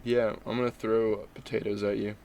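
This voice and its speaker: A man's voice: on the low side, level tone, moderate pace, gravelly, darkish tone, slight background noise. A man co-hosting a podcast in casual chat, tossing in silly, absurd jokes. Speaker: podcast co-host.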